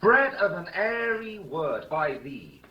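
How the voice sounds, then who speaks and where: An actor playing a stern, commanding ruler, declaiming with authority in radio Shakespeare. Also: male voice, high voice, big pitch swings, takes it slowly, lo-fi sound, full character voice. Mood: stern rebuke. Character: stern commanding ruler rebuking feuding old lords. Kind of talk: 1950s radio Shakespeare acting. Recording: echoey, distant, noisy old radio recording.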